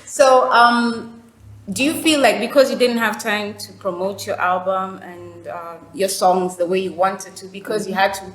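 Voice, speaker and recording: A woman's voice: medium pitch, fairly even intonation, moderate pace, a bit bright. A woman hosting a podcast, asking curious questions in a casual, teasing way. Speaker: podcast host. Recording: roomy room with faint noise.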